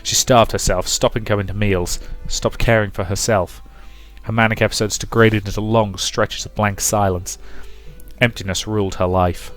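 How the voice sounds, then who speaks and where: A man's voice: fairly low pitch, steady tone, normal speed. A man hosting a let's play, reading the game's story aloud and commenting on it casually. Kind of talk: casual game commentary and dramatic read-aloud narration. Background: music.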